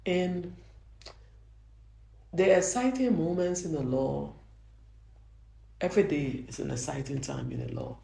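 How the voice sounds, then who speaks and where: An older Liberian woman speaks with a soft, gravelly voice, mellow in tone. She tells her story earnestly, restarting phrases and pressing her points home. The room around her gives a clear echo. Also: sits low, measured pace, long pauses. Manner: earnest, frequent restarts, grows emphatic. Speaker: judge. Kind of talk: interview answers, reflective storytelling. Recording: roomy echo.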